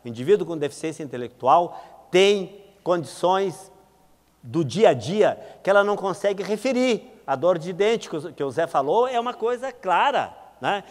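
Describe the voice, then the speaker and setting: A man's voice: high voice, animated, normal speed. A Brazilian man giving a symposium lecture as a doctor, didactic and emphatic with informal asides. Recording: reverberant hall.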